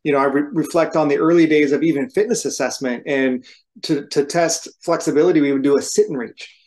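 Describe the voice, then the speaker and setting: A man in his forties, host of a firefighter fitness show, speaking in a measured, articulate way on a webcam call from home. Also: fairly high pitch, a little mellow. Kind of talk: casual conversational explanation. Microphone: video call webcam.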